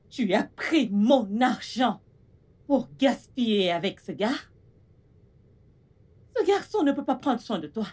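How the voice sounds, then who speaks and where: Bossy middle-aged mom in a drama, husky voice, repeats herself, insistent. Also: female voice, on the high side, a little mellow, heavily acted. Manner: scolding, insistent, repeats demands. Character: stern, domineering mother of grown daughters. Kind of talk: dramatic film dialogue in French.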